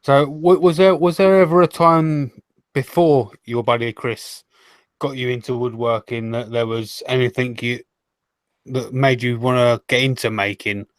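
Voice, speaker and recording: A man's voice: medium pitch, little pitch movement, measured pace, no echo. Easygoing man, dry close sound. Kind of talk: casual chat.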